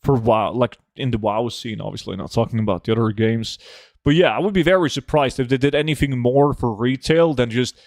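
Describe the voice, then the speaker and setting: A young man streaming a game, chatting casually and answering viewer questions in a relaxed, offhand way. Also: mid-range voice, fairly quick, no echo, studio quality. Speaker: gaming streamer reading chat. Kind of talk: casual live gameplay chat.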